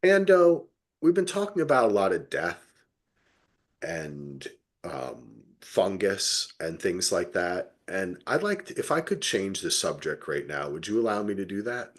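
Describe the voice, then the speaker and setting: Man, middle-aged, lively swinging intonation, casual podcast talk, emphatic, playful exaggeration. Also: low voice, measured pace. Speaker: podcast co-host. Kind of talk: casual TV review chat.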